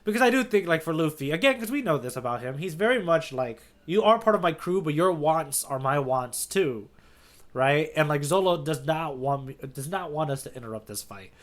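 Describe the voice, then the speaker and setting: A man's voice: fairly high pitch, expressive, brisk. A man co-hosting a casual manga readalong podcast, talking with slangy enthusiasm. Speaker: podcast co-host.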